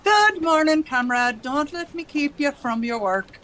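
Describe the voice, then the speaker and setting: A woman's voice: sits high, expressive, a little slow, fairly bright tone, a little hiss or hum. A woman playing a superior, cryptic senior party official in a radio drama, with a formal, condescending manner. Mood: cordial, cryptic.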